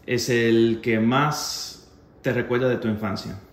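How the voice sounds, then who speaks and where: A man's voice: mid-range voice, fairly even intonation, slow pace. A man acting as interviewer, reading out short, casual personal questions. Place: home kitchen. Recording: echoey home kitchen, faint noise.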